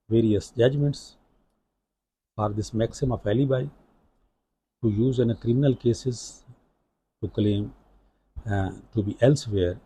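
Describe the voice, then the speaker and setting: Pakistani man lecturing, dark husky voice. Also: medium pitch. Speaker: law lecturer. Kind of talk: legal lecture, explanatory.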